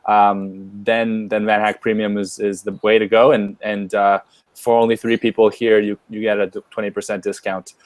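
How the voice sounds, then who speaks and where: A man hosts a live online webinar over a webcam, upbeat and casual, stumbling through fillers and restarts. His voice sits fairly low and sounds dry and close, with no room around it. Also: level tone, normal speed, dry recording. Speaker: webinar host. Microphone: webcam or headset on a video call.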